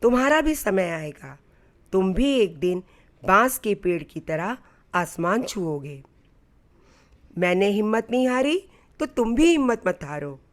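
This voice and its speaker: A patient, encouraging God as a woman storyteller voices him counsels slowly in a storybook tale. The pitch is moderate and the manner is reassuring and instructive, with each thought given time. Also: sing-song. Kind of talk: storybook narration.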